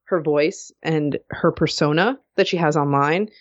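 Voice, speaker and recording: A woman's voice: low voice, a little slow, no echo, lo-fi sound. A woman co-hosting a podcast, chatting casually in an agreeable, reflective way. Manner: agreeable, reflective, with many fillers and hedges. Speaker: podcast co-host. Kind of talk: casual conversational chat.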